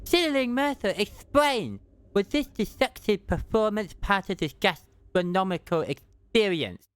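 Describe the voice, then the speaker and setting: A woman's voice: mid-range voice, lively intonation, a little slow, slight background noise, pitched above the performer's own voice, lightly acted. A woman voicing a bossy child who gives stern orders in a game fan dub. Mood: demanding.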